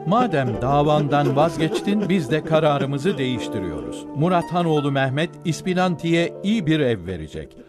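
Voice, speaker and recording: An actor playing a stern Ottoman judge in an animated historical film, formal and authoritative. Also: a little high, normal speed, few pauses, loud music behind the voice. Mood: solemn ruling. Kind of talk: animated historical drama dialogue.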